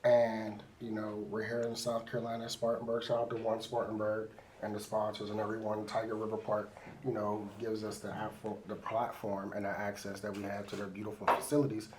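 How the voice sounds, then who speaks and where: A man's voice: lowish voice, monotone, brisk, a little mellow, a little hiss or hum, poor audio. A man hosting a casual sports interview, chatty and loose, with lots of fillers. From Pittsburgh. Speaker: sports show host.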